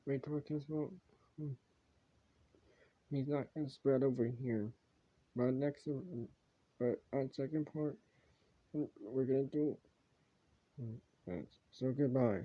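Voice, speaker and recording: A man's voice: medium pitch, steady tone. Rambling amateur male video host, muffled lo-fi sound. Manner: rambling, repetitive, halting. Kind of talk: casual improvised commentary and sign-offs. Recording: dull, lo-fi home recording.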